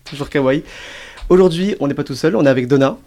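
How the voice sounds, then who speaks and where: A man's voice: a little high, quick pace, dark tone. A young man presenting a rap radio show in a chatty, playful, teasing way. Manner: playful, teasing banter with guest and co-hosts.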